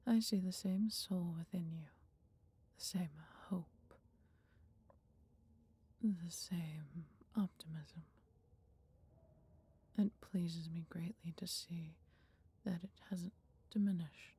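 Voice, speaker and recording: Woman voicing a husky, breathy, gentle she-dragon. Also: fairly low pitch, exaggerated voice. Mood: warm, wistful. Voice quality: breathy, husky, half-whispered. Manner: measured, formal, old-fashioned phrasing. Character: gentle, wise female dragon, fond of her human. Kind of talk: slow, intimate roleplay acting addressed to listener.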